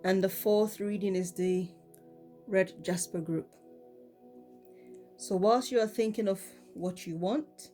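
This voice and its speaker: Woman, has a cold, slow and relaxed, tarot reading, lots of ums, faint music behind. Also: mid-range voice. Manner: relaxed, many ums and repeated "okay?". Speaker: tarot reader. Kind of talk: casual pick-a-card tarot reading.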